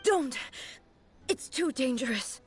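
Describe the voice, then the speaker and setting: Casting: stoic, tired game empress, formal and composed, slow delivery, higher register with expressive swings. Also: female voice, soft background music. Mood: alarmed. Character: weary, stoic female shogun, regal and restrained. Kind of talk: anime game voice acting.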